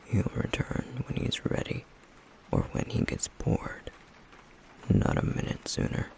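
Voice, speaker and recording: A man voicing a stoic, guarded flame demon in an intimate ASMR roleplay, with a breathy, gravelly murmur and a terse, deadpan, caring manner. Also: a little slow, lightly acted. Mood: detached, resigned.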